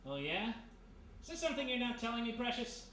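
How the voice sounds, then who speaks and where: A woman's voice: on the high side, very animated, a little fast, fairly bright tone. A woman playing a wry, slangy, wisecracking male private detective in old-time radio mystery style. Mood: wry, suspicious. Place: live performance in a roomy historic house. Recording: echoey live stage, distant mic, hiss.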